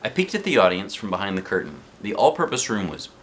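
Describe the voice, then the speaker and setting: Animated man reading a children's book aloud. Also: on the low side, fast, heavy background noise. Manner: expressive, acts out the story. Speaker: dad reading books aloud.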